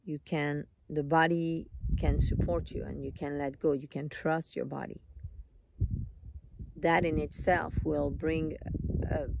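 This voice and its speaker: A meditation teacher leading a live guided meditation in an unhurried, soothing manner, in short phrases with long pauses. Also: mid-range voice, level tone, vocal fry, background noise.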